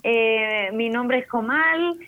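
Chilean woman founder on a muffled remote line, higher voice, lively storyteller. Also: slow. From northern Chile. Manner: animated storytelling, mixes in English terms. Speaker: startup founder guest. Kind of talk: remote podcast interview.

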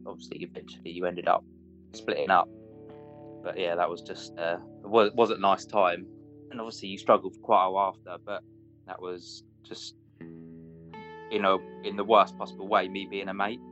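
A man's voice: low-pitched, fairly even intonation, normal speed, a bit bright, dull audio. A man telling a personal story casually and a little hesitantly, as if to a friend. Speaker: interviewee, friend of the interviewer. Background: guitar music.

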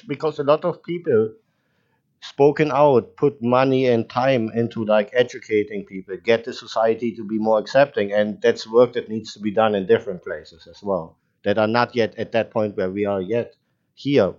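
A man's voice: fairly low pitch, steady tone, moderate pace, a little mellow. Man hosting a casual, lo-fi podcast. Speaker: podcast host. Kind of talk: casual interview chat.

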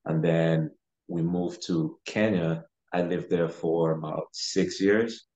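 A young man from Uganda talks through his investing history as a podcast guest, loose and unhurried, full of "uh" and "you know." His voice sits low and level, with little rise or fall. The recording is a bit dull and muffled. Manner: relaxed, with frequent fillers like "you know" and "uh". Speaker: guest real estate investor. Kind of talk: casual interview talk.

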